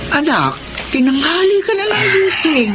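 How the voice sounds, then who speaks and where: An actress playing a worried, gently scolding mother of a grown son in a Filipino radio drama, fretful and nagging. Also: high-pitched, very animated, moderate pace, music in the background. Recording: faint background noise and scene ambience.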